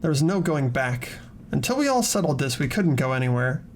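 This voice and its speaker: A man's voice: mid-range voice, big pitch swings, fairly quick, a little hiss or hum. A man narrating a video game playthrough, reading dramatically and chatting casually.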